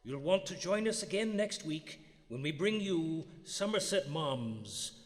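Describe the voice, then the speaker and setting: A man's voice: on the high side, animated, measured pace. A man playing a macabre old-time radio host, savoring eerie tales in a theatrical manner. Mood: relishing. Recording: reverberant live theater stage.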